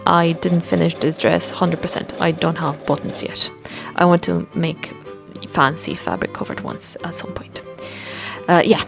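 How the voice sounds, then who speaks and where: A woman's voice: on the low side, gravelly. A woman vlogging about her sewing in a casual, self-mocking way, laughing often. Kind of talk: casual chat to camera.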